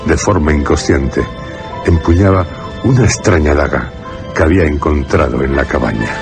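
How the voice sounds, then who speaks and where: Man, extremely deep voice, dramatic horror radio narration, prominent music. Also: normal speed. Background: suspense music.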